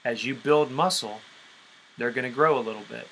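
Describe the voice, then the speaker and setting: A man with a bright, forceful voice leads a group conference call as a fitness coach. He explains things step by step and often checks that listeners follow. There is faint noise on the recording. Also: medium pitch, normal speed, low-quality recording. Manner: explanatory, checks understanding with frequent okay. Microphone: conference call line.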